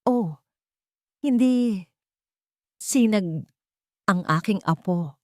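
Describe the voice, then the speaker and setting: A woman's voice: mid-range voice, expressive, extremely slow, dark tone, no room sound, clean studio sound, voice pushed up. A woman voicing a haughty older grandmother villain in an audio drama, theatrical and accusing. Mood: feigned shock.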